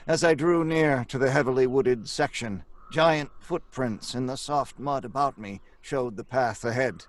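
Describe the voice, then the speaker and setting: A man's voice: medium pitch, close to an everyday voice. A man playing a haunted, defiant scientist, with grave, dramatic delivery in old-time radio horror style. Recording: music under the voice.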